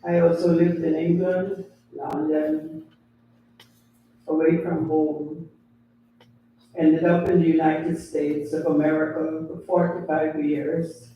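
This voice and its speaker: Slow older man, echoey chapel. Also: fairly high pitch, lo-fi sound, a little hiss or hum. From Guyana. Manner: slow, deliberate, pausing between phrases. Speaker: family elder speaking at a memorial. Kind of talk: memorial tribute speech. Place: funeral home chapel. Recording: very echoey hall, distant mic.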